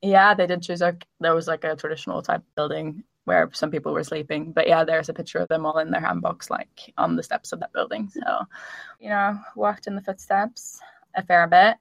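A woman in her thirties co-hosting a casual podcast, chatty and quick to laugh as she tells stories. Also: lowish voice, flat delivery, gravelly, no echo. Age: 30s. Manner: chatty, laughs often, storytelling. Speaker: podcast co-host.

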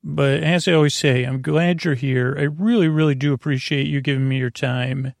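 A man hosting a bedtime podcast in a meandering monologue, halting and digressive, often trailing off and restarting. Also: medium pitch, steady tone, dry recording, clean studio sound. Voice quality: creaky, gravelly. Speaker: podcast host.

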